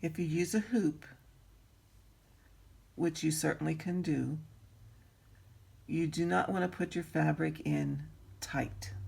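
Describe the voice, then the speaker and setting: A woman with a raspy voice teaching needlework in an easygoing tutorial, pausing often with ums and clearing her throat. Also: sits low, a little mellow. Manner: slow, unhurried, frequent ums and throat clearing. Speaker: embroidery teacher. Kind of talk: instructional craft tutorial.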